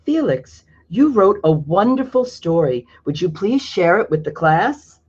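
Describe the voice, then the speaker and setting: Guy doing a nice teacher lady praising a kid, higher voice, dull audio. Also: very animated, unhurried, darkish tone, husky, exaggerated voice. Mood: encouraging. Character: warm encouraging woman teacher. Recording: dull lo-fi recording.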